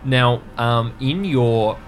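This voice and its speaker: A man narrating a tabletop role-playing game as game master, in a measured, descriptive way. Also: mid-range voice.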